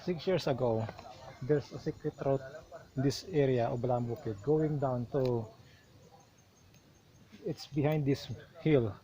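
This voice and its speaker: Slow-talking Filipino man outdoors. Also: mid-range voice, warm tone, rough recording. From Philippines. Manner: explanatory, unhurried, with restarts. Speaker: travel vlogger. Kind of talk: outdoor travel vlog narration. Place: outdoors on a hilltop shrine.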